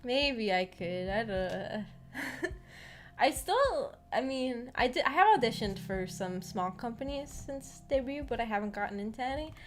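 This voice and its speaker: Giggly, higher-voiced woman chatting on a livestream. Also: lively intonation, unhurried. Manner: giggly, hesitant and rambling with repeated words. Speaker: VTuber streamer hosting a visual novel book club. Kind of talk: casual livestream chat. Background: background music.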